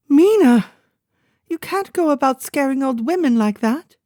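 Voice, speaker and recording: A woman's voice: high voice, animated, measured pace, warm tone, dry recording, clean studio sound. An actress playing an elderly, fretful mother who is fussy and easily flustered. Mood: startled. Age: older. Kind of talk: audio drama acting.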